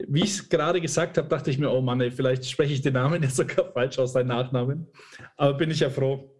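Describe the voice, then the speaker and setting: A man's voice: mid-range voice, a little fast. A man hosting a casual conversational interview in an informal, jokey, somewhat hesitant manner. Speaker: podcast host, interviewer.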